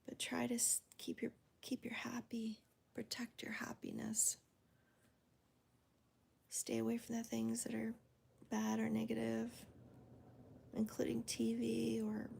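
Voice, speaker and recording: A woman hosting a crochet video in a soft, whispery voice, calmly counting stitches aloud as she works. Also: on the high side, measured pace, vocal fry, long pauses, a little hiss or hum. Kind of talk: soft-spoken crochet demonstration and chat.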